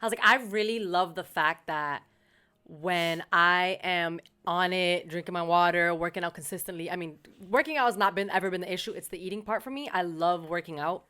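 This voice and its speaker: A woman's voice: fairly low pitch, bright, forceful tone, smooth. A woman co-hosting a podcast, chatting bluntly in slangy, casual speech. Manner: blunt, slangy, quick reactions. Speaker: podcast co-host. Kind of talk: casual chat.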